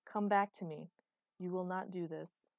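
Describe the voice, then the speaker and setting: A woman voicing a desperate, fierce, commanding heroine in a dark fantasy audiobook, emotional and insistent. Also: medium pitch, a little slow, no echo, studio quality, close to an everyday voice. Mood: furious command. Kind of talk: audiobook character acting.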